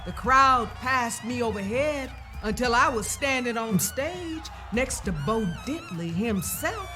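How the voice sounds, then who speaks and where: Woman reading a kids book out loud, really takes her time, expressive, bit of music behind her. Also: fairly high pitch, slow, a faint crowd. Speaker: storyteller reading a children's book aloud. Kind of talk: expressive scripted narration of a first-person children's story.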